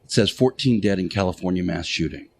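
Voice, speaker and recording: A man hosting a radio show, preaching in a deliberate monologue with emphatic short phrases, pauses and repetition. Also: deep voice. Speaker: radio show host playing Jesus in interactive radio theater.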